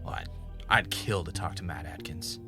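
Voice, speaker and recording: A man's voice: extremely low pitch, moderate pace, pushed and bright, faint music behind, heavily acted. A man playing an earnest, doubtful podcast host in a scripted audio drama. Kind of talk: fictional podcast narration and conversation.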